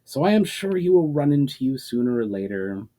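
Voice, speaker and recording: A man voicing a proud, sharp, defiant sorceress in formal speech for a dramatic fantasy story reading. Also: mid-range voice, sing-song, fairly quick, lightly acted. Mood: cool, needling. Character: proud, sharp, defiant woman wielder of magic.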